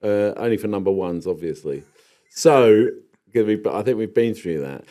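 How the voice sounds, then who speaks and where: A man's voice: lowish voice, expressive, moderate pace, warm tone. A man with an English accent co-hosting a podcast in casual chat, rambling and wandering off into digressions. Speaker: podcast co-host.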